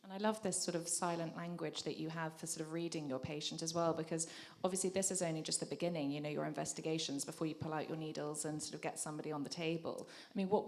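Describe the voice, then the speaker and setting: Female host, deep gravelly voice, fast and chatty with fillers. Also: steady tone, darkish tone. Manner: enthusiastic, rambling with fillers. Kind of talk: conversational panel discussion.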